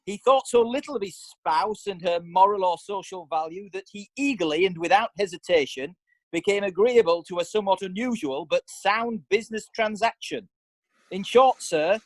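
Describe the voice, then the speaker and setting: A man's voice: sits high, normal speed, no room sound, poor audio. A man playing an indignant medieval lawyer who argues in a pompous, theatrical way. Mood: scornful. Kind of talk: amateur radio drama.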